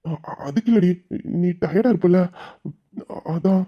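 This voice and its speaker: A woman acting a tender, protective young husband and new father in a melodramatic audio drama, with a dark husky voice. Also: fairly low pitch, unhurried. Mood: concerned. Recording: dull, muffled lo-fi sound.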